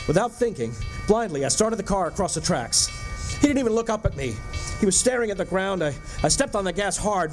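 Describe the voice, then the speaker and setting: A man acts out a haunted American motorist in a radio play. His slightly high voice is earnest and uneasy, prone to stammering, with music clearly underneath. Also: natural voice. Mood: mounting panic. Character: haunted, anxious male motorist narrating his road trip. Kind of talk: dramatic first-person radio drama narration and dialogue.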